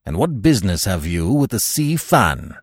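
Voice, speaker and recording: A man's voice: fairly low pitch, very animated, moderate pace, slightly rough, no room sound, studio quality. A man voicing an irritable, commanding male investigator in an audiobook story, snapping out demands with fierce intensity. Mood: demanding, fierce.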